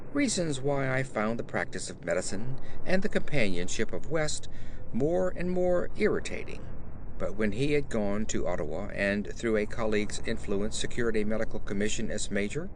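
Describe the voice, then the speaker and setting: A man narrating a horror story in a theatrical, measured, emphatic style. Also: lowish voice, background noise. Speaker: audiobook narrator. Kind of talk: dramatic scripted horror narration.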